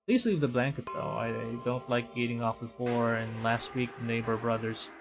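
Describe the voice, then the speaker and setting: A man's voice: medium pitch, normal speed, vocal fry, lightly acted. Meek poor boy for a visual novel, played by a man, mild, apologetic, faint music behind. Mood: meek, polite. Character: meek, polite, down-on-his-luck poor boy.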